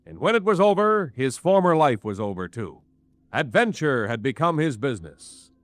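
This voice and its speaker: An actor as a portentous 1940s radio announcer, delivering scripted narration in a grand, deliberate manner. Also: male voice, medium pitch, very animated, no room sound, close to an everyday voice. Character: dramatic, portentous male programme announcer.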